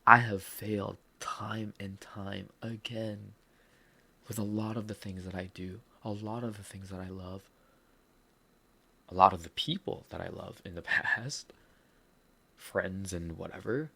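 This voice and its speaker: A man's voice: lowish voice, fairly even intonation, unhurried, vocal fry, bright, forceful tone, husky. A man with a Filipino accent, hosting a podcast and speaking candidly and earnestly about a personal setback, with some self-deprecating humor. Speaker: podcast host. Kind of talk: personal reflective monologue.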